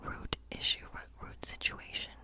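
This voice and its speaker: A woman speaks in a breathy, unvoiced whisper, as in an ASMR fantasy role play. She gives a tarot reading in a gentle, unhurried way, explaining things in short phrases with pauses between them.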